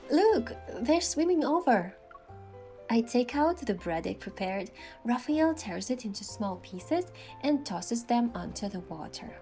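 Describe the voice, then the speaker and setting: A woman reading scripted first-person story narration in an expressive way, acting out the lines as a heroine. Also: mid-range voice. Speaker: narrator voicing a game's heroine.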